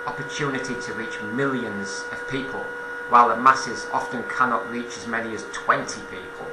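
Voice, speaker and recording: A man delivers an off-the-cuff political monologue at a middling pitch. He halts, corrects himself and repeats phrases to press his point. The recording is roomy and echoey, with a clear background hum. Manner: halting, self-correcting, repeats phrases for emphasis. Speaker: political commentator. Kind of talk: informal spoken political monologue.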